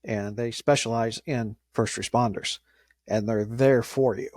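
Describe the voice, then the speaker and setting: A man answers as an interview guest in a medium-pitched voice with very little room sound. He talks informally and carefully, hesitating and feeling his way through each phrase. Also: steady tone, darkish tone, no room sound, clean studio sound. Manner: measured, hesitant, halting phrasing. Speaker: emergency dispatcher, interview guest.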